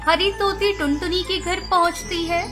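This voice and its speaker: A woman tells a children's cartoon story in a plain, steady narrating style. Her voice sits very high, and music plays clearly beneath her. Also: moderate pace. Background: background music.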